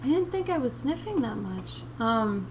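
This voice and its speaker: A young woman with a raspy voice, chatting in a chill, laid-back way with viewers while drawing on a livestream, sniffing now and then. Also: on the high side, normal speed, distant mic. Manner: chill, relaxed, sniffing often. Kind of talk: casual chat with stream viewers while drawing. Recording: steady background noise.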